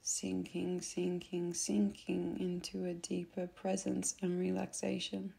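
A woman guiding a meditation in a soft, gentle, unhurried way, with phrase endings that turn breathy. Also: fairly low pitch, completely flat delivery. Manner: gentle, unhurried, instructing softly with pauses. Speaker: meditation guide. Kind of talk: soothing guided meditation narration.

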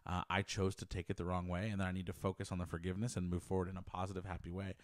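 A man's voice: low voice, monotone, rapid-fire, no room sound. A man in his thirties hosting a podcast from a small home office, talking earnestly into a close handheld mic, rambling and restarting his sentences. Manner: earnest, rambling, repeats words and restarts often. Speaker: podcast host. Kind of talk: personal faith podcast monologue. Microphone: handheld dynamic mic close to mouth.

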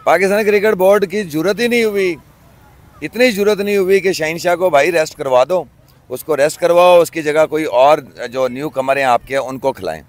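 A middle-aged club cricketer from Pakistan giving his views outdoors in a casual interview, earnest and often pausing on fillers. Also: on the high side, no room sound. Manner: earnest, many hesitation fillers. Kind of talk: opinionated casual talk. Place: outdoors by a park road. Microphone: handheld mic.

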